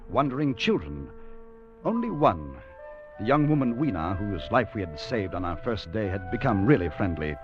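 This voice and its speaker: A man's voice: medium pitch, close to an everyday voice. Guy playing earnest time traveler in an old radio play, voice swings a lot, muffled recording w music under it. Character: earnest, wondering time traveler recounting his adventure. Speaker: narrator and lead actor in a radio drama. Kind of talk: 1950s American radio drama acting.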